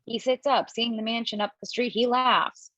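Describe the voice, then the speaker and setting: A woman narrating stage directions at a table read from home, lively and expressive, with small slips. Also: on the high side, moderate pace, no room sound. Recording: dull lo-fi, dry.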